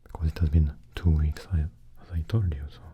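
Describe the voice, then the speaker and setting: A man hosting an ASMR channel, mostly in a soft unvoiced whisper that drifts near and far from the microphone, chatting slowly and haltingly to his viewers. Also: extremely low pitch, flat delivery. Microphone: close microphone.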